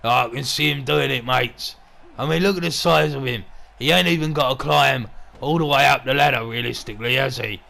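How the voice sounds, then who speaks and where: A man playing a gruff, bullying wrestling boss and commentator with a throaty growl and a crude, sweary, threatening way of talking. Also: mid-range voice, fairly quick, bright, forceful tone. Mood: excited. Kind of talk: wrestling commentary with in-character banter.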